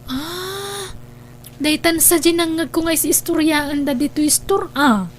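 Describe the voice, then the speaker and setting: An actress playing a caring, sweet younger woman in an Ilocano radio drama, with an earnest, expressive delivery. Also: very high-pitched, unhurried, slight background noise. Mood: curious.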